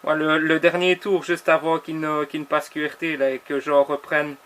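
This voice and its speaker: A man with a Belgian French accent, an amateur radio operator, chatting casually and haltingly with repetitions. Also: fairly high pitch, level tone, a bit bright. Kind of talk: casual ham radio chat.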